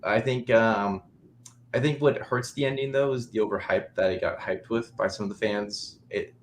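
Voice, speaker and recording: A man hosting a casual podcast chat, slightly hoarse from a cold, chatty and quick to laugh. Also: low voice, slight background noise. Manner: chatty, laughs often, many "you know"s. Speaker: podcast host.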